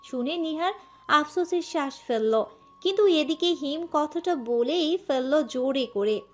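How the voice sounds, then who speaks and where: A woman's voice: high voice, animated, a little slow. A woman giving scripted narration of a romantic story. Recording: music bed under voice.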